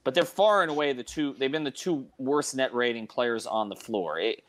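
A man hosting an unscripted sports panel, often repeating words as he works toward his point. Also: medium pitch. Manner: halting, repeats words as he thinks. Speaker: host of a sports panel show.

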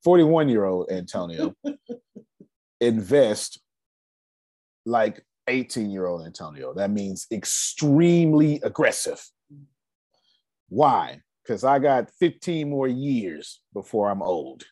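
A man in his forties giving a casual, energetic finance seminar talk, asking rhetorical questions and answering them himself. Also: lowish voice, slow, long pauses, no echo. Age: 40s. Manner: loose, energetic, repeats key points. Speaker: finance speaker.

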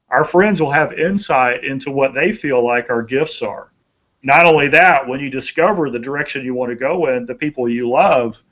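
A man's voice: medium pitch, a bit bright, lo-fi sound. A man giving conversational motivational advice as a podcast co-host, in a folksy, earnest way, repeating phrases for emphasis.

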